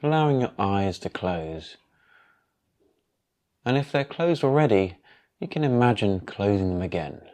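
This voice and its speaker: A man guiding a yoga nidra meditation in a calm, unhurried, instructional manner with long pauses. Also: fairly low pitch. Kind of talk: guided meditation.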